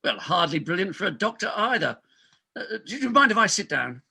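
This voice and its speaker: A man's voice: high-pitched, very animated, a little fast, creaky, sharp tone, husky, full character voice. A man playing a pompous, evasive middle-aged London doctor, blustering and stammering through a comic stage play reading. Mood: panicked, blustering.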